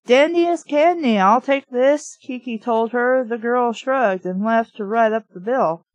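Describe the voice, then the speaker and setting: A woman with a somewhat high-pitched voice, recorded very dry with almost no room sound. She reads and reviews a book in an informal, amateur way, speaking frankly as if chatting to viewers. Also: measured pace, no room sound, highs cut off. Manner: informal, frank asides, mild swearing. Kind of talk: reading a novel aloud with casual commentary.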